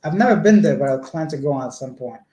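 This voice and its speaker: Mellow-voiced man in an echoey room. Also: fairly high pitch, animated, quick pace. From Canada. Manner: chatty, rambling explanations. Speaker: vlogger. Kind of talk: livestream, answering viewer comments. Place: indoor home room.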